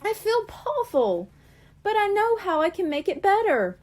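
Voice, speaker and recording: A woman's voice: extremely high pitch, very animated, a little slow, darkish tone, voice pushed up, full character voice. A woman voicing an earnest young mermaid kitten girl who pleads and hurries eagerly. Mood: apologetic.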